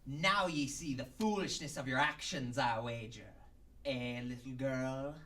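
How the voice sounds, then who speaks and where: An actor playing a crooked, mocking, hot-tempered pirate captain in a radio drama, speaking in thick stage-pirate dialect. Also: male voice, mid-range voice, a little slow, recorded from a distance, very noisy, close to an everyday voice. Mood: sly, taunting.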